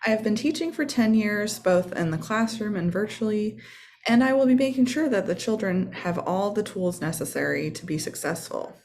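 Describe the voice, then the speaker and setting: A woman's voice: mid-range voice, darkish tone. A woman teacher and mentor giving a short self-introduction.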